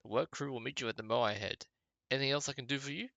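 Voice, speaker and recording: A man's voice: medium pitch, fast talker, creaky, fairly bright tone, dry recording, dull audio. A man voicing a shrewd female island dealer in a fantasy game, terse and businesslike. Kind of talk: amateur game dialogue voicing.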